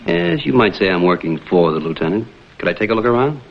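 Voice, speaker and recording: An American actor playing a cool, wisecracking private investigator in a vintage detective drama, with clipped, deadpan delivery. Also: male voice, fairly low pitch, fast talker, creaky. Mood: probing. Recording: muffled old film soundtrack, noisy.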